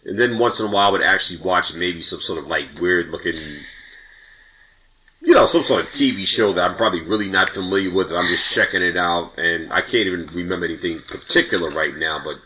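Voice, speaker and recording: A man's voice: sits low, a little fast, pushed and bright, poor audio. A middle-aged man from New York chatting casually on a podcast, recalling things in a hedging, rambling way. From New York, United States. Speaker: podcast guest or co-host. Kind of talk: casual chat.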